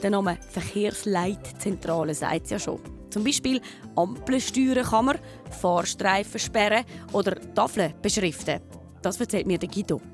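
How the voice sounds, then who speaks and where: A woman's voice: mid-range voice, normal speed, soft background music. A woman with a Swiss German accent, presenting and narrating a scripted children's documentary.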